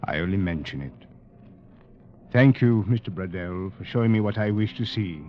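An actor playing a gaunt, coldly courteous doctor in an old radio drama, speaking in a formal, measured, ominous way. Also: male voice, sits low, expressive, lo-fi sound. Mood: quietly menacing. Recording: band-limited, noisy vintage radio broadcast.

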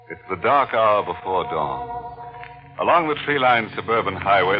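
An actor playing a mock-courteous, darkly teasing horror host on a 1940s radio mystery, theatrical and morbid. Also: male voice, sits low. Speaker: host and narrator. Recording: dull old radio broadcast with music.

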